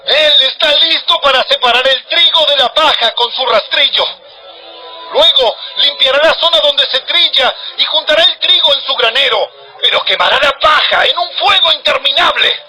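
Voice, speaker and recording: Fierce high-pitched shouting desert prophet. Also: male voice, moderate pace, soft background music, full character voice, rough recording. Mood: stern, urgent. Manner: declamatory, thundering, admonishing. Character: fierce male desert prophet, stern and urgent. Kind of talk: dramatized audio Bible acting.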